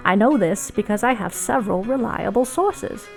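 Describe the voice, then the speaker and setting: A woman's voice: medium pitch, moderate pace, creaky, soft background music, natural voice. A woman voicing a brash, smitten male rebel radio broadcaster in an audiobook, with theatrical, emphatic delivery. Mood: boastful.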